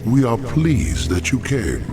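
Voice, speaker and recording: A man's voice: mid-range voice, wildly swooping pitch, takes it slowly, music in the background, exaggerated voice. An actor playing a haughty, scheming demon lord in a fantasy game, grandiose and sinister in his delivery.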